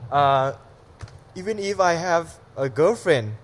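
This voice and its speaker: A man's voice: on the high side, lively intonation, takes it slowly. A man with a Thai accent, a comedian telling a loose, rambling story on a casual podcast.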